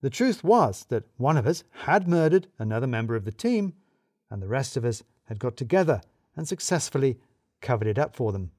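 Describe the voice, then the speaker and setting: A man's voice: mid-range voice, big pitch swings, fairly quick, darkish tone, dry recording, clean studio sound. A man narrating a scripted first-person mystery story in a wry, arch, wordy storyteller's manner.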